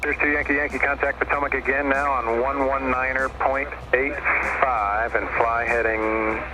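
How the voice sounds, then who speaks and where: A man's voice: medium pitch, steady tone, moderate pace. A man working as an air traffic controller, giving procedural instructions over aviation radio. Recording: noisy aviation radio heard in cockpit.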